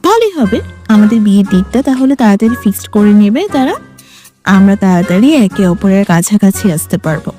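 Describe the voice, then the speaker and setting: A woman's voice: medium pitch, expressive, brisk, mellow, soft background music, lightly acted. A woman playing a mischievous, lovestruck young student in an audio story, teasing and persistent. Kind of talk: Bengali audio story acting.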